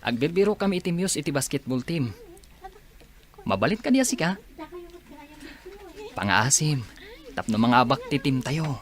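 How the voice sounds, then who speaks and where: A man's voice: fairly high pitch, lively intonation, normal speed, long pauses, lightly acted. A man playing a friendly young student athlete in a radio drama, pleading and coaxing as he talks.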